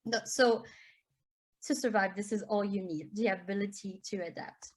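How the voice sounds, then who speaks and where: A woman's voice: fairly even intonation, moderate pace. A woman from France with a French accent, giving an earnest, explanatory conference talk as a primary school teacher. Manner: earnest, explanatory, rises in pitch to stress key points.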